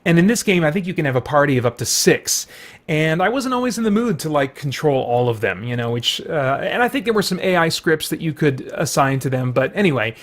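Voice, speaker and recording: A man's voice: a little high, very animated, brisk. A middle-aged man hosting a video series, talking casually and rambling about video games.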